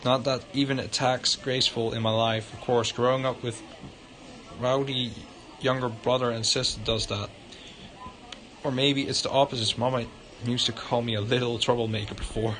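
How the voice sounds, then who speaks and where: A man's voice: mid-range voice, flat delivery, normal speed, slight background noise, low-quality recording. A male livestreamer reading visual novel narration aloud in an informal way, sometimes stumbling over the words. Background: loud guitar music.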